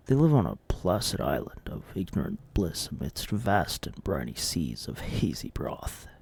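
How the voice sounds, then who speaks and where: A man voicing an ominous eldritch herald in mock horror narration, gravelly and hushed, with pompous, archaic phrasing. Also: sits low, big pitch swings, moderate pace, whispered, pitched below the performer's own voice, full character voice. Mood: sinister, foreboding. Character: ominous eldritch herald of forbidden, horrific dishes.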